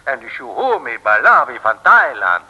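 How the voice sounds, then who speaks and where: A man playing a coldly menacing secret agent in an old radio play, speaking in slow, measured, pompous pronouncements. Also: medium pitch, sing-song, husky, highs cut off. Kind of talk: 1950s Dutch radio play acting. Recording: old radio recording with long reverb.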